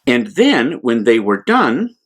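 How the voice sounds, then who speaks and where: An American man, a priest, telling a Bible story to children in simple words with deliberate repetition for emphasis. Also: medium pitch, huge pitch swings. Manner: simple, deliberate explanations with repeated phrases for emphasis.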